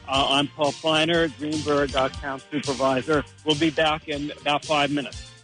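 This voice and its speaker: American radio host, halting man. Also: a little high, little pitch movement, sharp tone, faint music behind. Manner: halting, many pauses. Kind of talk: radio interview.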